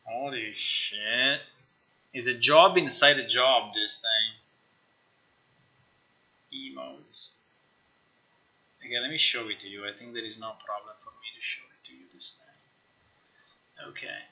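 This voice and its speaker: Bright, sharp-voiced man streaming, non-native English. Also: mid-range voice, takes it slowly, pauses often, lo-fi sound. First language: not English. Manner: muttering to himself, repetitive, sweary. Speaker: live streamer. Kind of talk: casual live stream chat, thinking aloud. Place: indoors at a computer.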